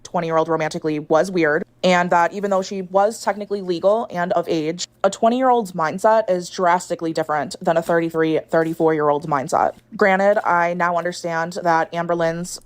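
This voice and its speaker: A woman in her late twenties telling a personal story in a casual, measured, explanatory way. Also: fairly low pitch, fairly even intonation. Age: late 20s. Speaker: video storyteller.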